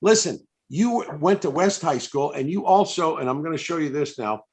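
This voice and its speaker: A man's voice: a little high, expressive, moderate pace, darkish tone. An older American man interviewing a guest in a casual, effusive, reminiscing way, often repeating himself. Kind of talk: casual, chatty live interview. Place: indoors on a video call.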